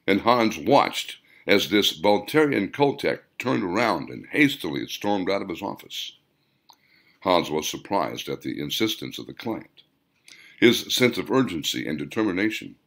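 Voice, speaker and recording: A man reading a story aloud as dramatic, theatrical audiobook narration, with deliberate delivery. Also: fairly low pitch, fairly bright tone.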